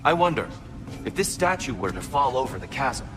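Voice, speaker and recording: An actor playing a calm, wise god in a video game, speaking in a measured, formal manner. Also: male voice, mid-range voice, over loud music, close to an everyday voice. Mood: calm guiding. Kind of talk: video game cutscene acting.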